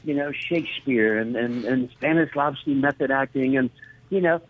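A man's voice: mid-range voice, normal speed. Enthusiastic man on a thin phone line. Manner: warm, enthusiastic, repeats words when excited. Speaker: guest, voice actor. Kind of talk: storytelling interview talk.

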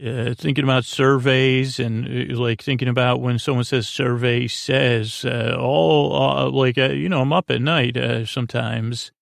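A man hosting a sleep podcast in a gravelly voice, rambling through a halting, meandering bedtime monologue full of tangents and broken-off sentences. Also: medium pitch, steady tone, no echo, studio quality. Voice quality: gravelly, creaky voice. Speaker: podcast host.

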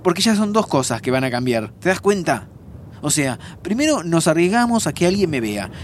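An actor playing a young time traveller, a loyal and frank friend, with a Rioplatense Argentine accent and informal, expressive talk in an audio drama. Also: male voice, fairly high pitch, normal speed, slight background noise. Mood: reluctant, firm. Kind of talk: naturalistic audio drama acting.

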